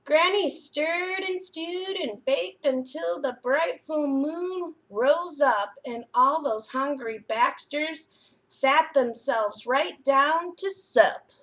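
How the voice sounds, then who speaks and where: High-pitched, unhurried woman hosting children's story time. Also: poor audio. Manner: sing-song, expressive, addressing young children. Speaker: library story time host. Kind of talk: children's picture book reading and craft demonstration.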